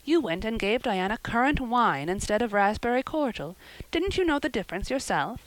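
A woman reading an audiobook, voicing a stern, practical older woman who scolds briskly and plainly. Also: fairly high pitch, very animated, dry recording, voice pushed up, rough recording. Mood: stern, dry. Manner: brisk, scolding and matter-of-fact. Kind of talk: audiobook character voice.

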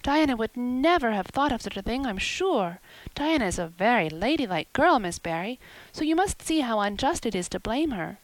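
A woman's voice: a little high, sing-song, moderate pace, dry recording, pitched above the performer's own voice, poor audio. A woman voicing an eager, dramatic young orphan girl who talks earnestly and breathlessly. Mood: earnest pleading.

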